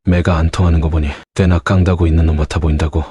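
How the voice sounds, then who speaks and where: A man voicing a fast, low-voiced cocky young interrogator. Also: flat delivery, dark tone, slight background noise. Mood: taunting. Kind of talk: animated webtoon voice acting.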